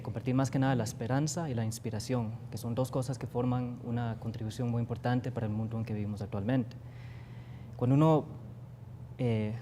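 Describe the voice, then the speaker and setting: A man's voice: mid-range voice, dark tone, slight background noise. Earnest young Costa Rican man. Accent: Costa Rican Spanish. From Costa Rica. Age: young adult. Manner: earnest, reflective, with many fillers and restarts. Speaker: guest artist. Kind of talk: TV studio interview. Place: TV studio.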